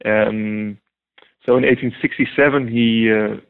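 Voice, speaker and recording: A man's voice: on the low side, steady tone, a little slow, creaky, clean tone. A man from the Netherlands with a Dutch accent, answering interview questions hesitantly with many ums and restarts. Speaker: guest translator. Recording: tinny phone-like line.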